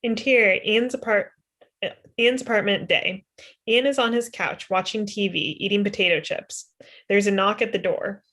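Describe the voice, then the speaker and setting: A woman's voice: medium pitch, steady tone, moderate pace, a bit bright. A woman in her twenties reading stage directions matter-of-factly at a table read over a video call. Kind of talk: scripted narration at a table read. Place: indoor room at home.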